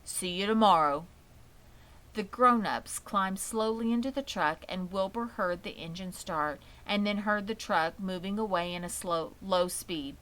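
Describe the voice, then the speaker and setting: A woman's voice: mid-range voice, a little slow, few pauses, fairly bright tone, poor audio. A woman reading a children's story aloud as scripted narration. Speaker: audiobook reader.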